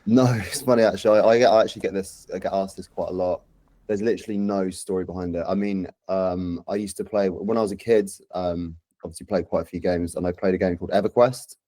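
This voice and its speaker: A man from London in his thirties speaks with a low, mellow voice and a London accent. The sound is close and dry. He chats casually as a guest on a podcast. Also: steady tone, fast talker, no echo. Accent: London English. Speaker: podcast guest, social media and community manager. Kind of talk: casual chat.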